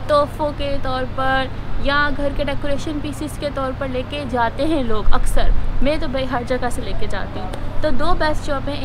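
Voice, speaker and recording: Pakistani woman, upbeat vlogger, fairly high voice, code-switches to English, noisy outdoor plaza. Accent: Pakistani Urdu. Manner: enthusiastic, mixes in English phrases. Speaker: travel vlogger. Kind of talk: casual travel vlog chat. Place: outdoor shopping plaza and shops.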